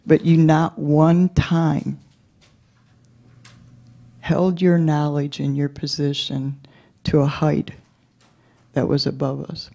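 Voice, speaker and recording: A commissioner speaks at a city meeting, paying tribute to the departing mayor. The delivery is slow and earnest. The voice stays flat and even, with a mellow tone. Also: mid-range voice, creaky. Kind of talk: farewell tribute at a public meeting.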